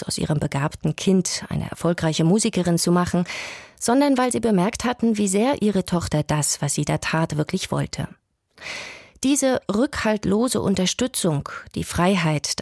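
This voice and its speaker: A woman narrating a scripted radio documentary in German with a calm, measured delivery. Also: on the low side, no echo, studio quality.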